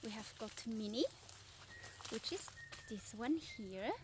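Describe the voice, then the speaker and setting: A woman's voice: a little high, animated, slow, noisy background. Swiss-accented middle-aged woman, non-native English, casual garden vlog, chatty with fillers, recorded outdoors with faint ambience. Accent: Swiss German. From Switzerland. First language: Swiss German. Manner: chatty, informal, with frequent fillers and restarts. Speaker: vlogger, lifestyle property owner.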